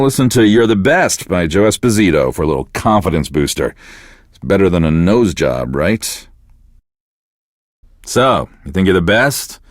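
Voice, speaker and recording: A sad-sack middle-aged male DJ for a game radio station. His low voice sits in a dry studio sound, and he delivers bitter, deadpan banter that keeps sliding into self-pity. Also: dry recording. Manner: deadpan, sardonic, self-deprecating. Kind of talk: radio show hosting, comic self-pitying banter.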